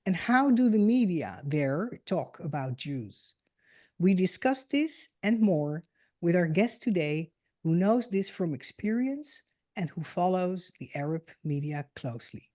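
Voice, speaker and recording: A woman hosting a podcast interview. Her voice sits low for a woman and sounds mellow and warm. She speaks politely and formally as she introduces her guest and asks questions. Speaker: podcast host.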